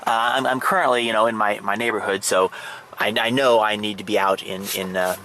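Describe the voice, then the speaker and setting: A man's voice: on the low side, fairly even intonation, bright, forceful tone. Hesitant man over noisy background. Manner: hesitant, halting, fragmented delivery. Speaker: amateur radio hobbyist demonstrating his setup. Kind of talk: casual demonstration vlog. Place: outdoors and in a parked vehicle in a residential neighborhood.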